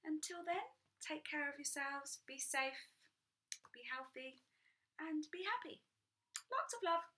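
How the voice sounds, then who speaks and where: Young woman, storytime reader for children; higher voice, chatty and keen. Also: animated, measured pace, pauses often, bright, forceful tone. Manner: chatty, enthusiastic asides to young listeners. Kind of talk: reading a picture book aloud to children.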